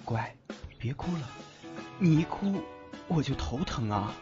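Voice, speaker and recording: A man's voice: mid-range voice, lively intonation, unhurried, natural voice. Male actor as playful protective ghost keeper, coaxing, swooping intonation, audio drama, muffled lo-fi sound with music. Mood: tender scolding. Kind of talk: Chinese audio drama acting. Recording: dull lo-fi audio under music.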